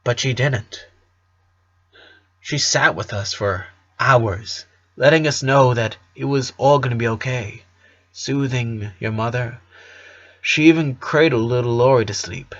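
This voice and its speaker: A man plays a middle-aged cartoon dad with a bright, forceful voice. He tells nostalgic family stories with earnest fondness and a joking streak. The recording is slightly dull and lo-fi. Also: mid-range voice, unhurried. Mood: tender, nostalgic. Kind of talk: cartoon fan fiction reading.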